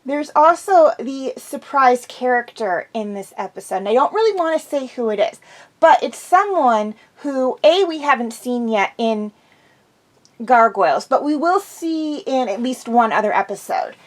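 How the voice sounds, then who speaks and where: A woman's voice: high-pitched, expressive, unhurried. Chatty woman with a slightly stuffy nose. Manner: chatty, enthusiastic, teasing. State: slightly congested from a cold. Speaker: vlog host reviewing episodes. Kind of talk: casual review monologue.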